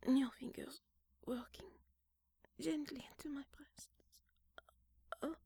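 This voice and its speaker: A woman speaks in an unhurried, breathy whisper full of soft sighs, slipping French endearments into her English. Her voice sits high, and the sound is dry, with no room around it. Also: fairly even intonation, no echo. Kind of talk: whispered intimate roleplay audio.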